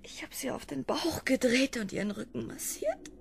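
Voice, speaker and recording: A woman acting a haunted wife in a radio drama, speaking in a breathy, halting half-whisper. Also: high voice, lively intonation, exaggerated voice. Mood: anguished, guilty. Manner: hushed, halting delivery. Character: haunted wife confessing a guilty childhood trauma.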